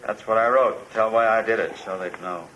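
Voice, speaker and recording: An actor playing a despairing, volatile forger in a halting, agitated radio drama style. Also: male voice, on the low side, a bit bright, highs cut off, lightly acted. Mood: troubled, confused. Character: despairing, volatile forger cornered at home with his dogs. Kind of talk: 1950s radio drama acting. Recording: noisy old 1950s radio recording.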